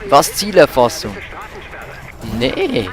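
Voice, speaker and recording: A man's voice: fairly high pitch, huge pitch swings, slow pace. A man giving casual, colloquial gameplay commentary with exclamations as he plays. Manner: colloquial, mildly swearing, exclaims while playing. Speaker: let's play host. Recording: loud music and car noise behind. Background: game music and car engines.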